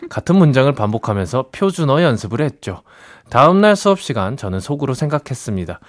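A man reading listener stories on a radio show and chatting with the host in a calm, easy-going way. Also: medium pitch, fast talker.

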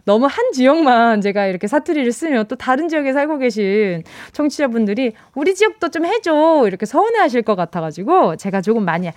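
A woman's voice: sits high, sing-song, brisk, hardly any pauses, darkish tone, no echo, studio quality. A woman hosting a live radio show, chatting playfully with listeners, reading their messages and laughing often, with a trace of a Busan accent. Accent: Busan dialect. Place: radio studio. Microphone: studio microphone.

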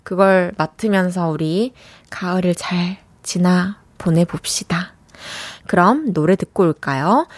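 A woman's voice: on the low side, unhurried, dry recording. A young woman hosting a radio show, reading listener messages and chatting about them. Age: young adult. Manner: chatty, warm reactions to listener texts. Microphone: studio mic.

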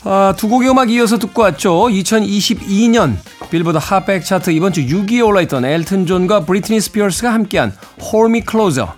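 A man's voice: sits high, animated, brisk, few pauses. A middle-aged man hosting a music radio show, mixing song introductions with casual anecdotes in a wry, self-teasing, conversational manner. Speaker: radio host and DJ.

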